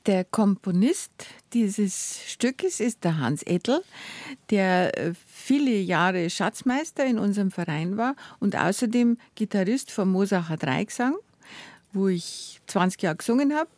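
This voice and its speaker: A woman's voice: medium pitch, expressive, a little slow, a little mellow, no echo. A woman folk singer giving background on a song in a matter-of-fact way. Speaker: folk singer, club member. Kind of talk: explaining background on air.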